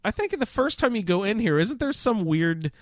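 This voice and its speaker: A man's voice: sits high, very animated, moderate pace, no echo, clean studio sound. A man hosting a podcast, chatting casually in a roundtable about a video game. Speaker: podcast host.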